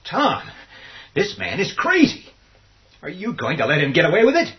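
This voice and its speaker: A man's voice: sits high, wildly swooping pitch, moderate pace, muffled recording. An actor playing an agitated, stubborn family man in a horror radio drama, indignant and emphatic. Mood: indignant, urgent. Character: agitated, stubborn, cowardly family man barricading himself away.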